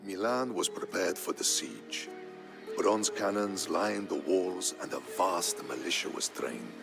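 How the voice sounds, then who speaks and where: A man narrating a historical tale in a scripted, dramatic style, solemn and measured. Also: low-pitched, vocal fry. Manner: solemn, measured storytelling delivery. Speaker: narrator of a strategy game campaign story. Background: background music.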